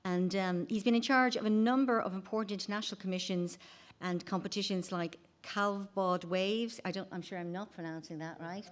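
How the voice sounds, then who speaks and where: A woman with a fairly low voice moderates a conference panel. She talks in a loose, chatty way, with fillers between her thoughts. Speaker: session moderator. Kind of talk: introducing speakers. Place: conference room.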